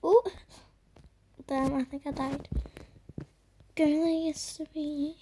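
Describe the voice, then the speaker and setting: Woman gamer, croaky, high and soft-toned, loose filler-laden commentary. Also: flat delivery, slow pace, a little hiss or hum. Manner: rambling, filler-heavy, trails off into murmurs. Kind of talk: casual gameplay commentary.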